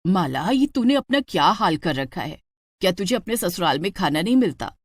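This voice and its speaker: A woman's voice: lowish voice, very animated, moderate pace. A woman voicing a caring, worried middle-aged mother in a story, with a fussing, concerned manner.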